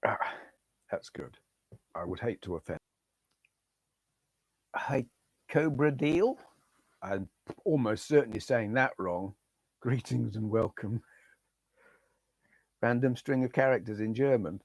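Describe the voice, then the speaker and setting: Hoarse man, slow rambling chat, dry close sound, retro gaming streamer. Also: mid-range voice, lively intonation, dry recording. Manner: rambling, chatty, reads out viewer names. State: hoarse, losing his voice. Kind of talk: casual live stream chat.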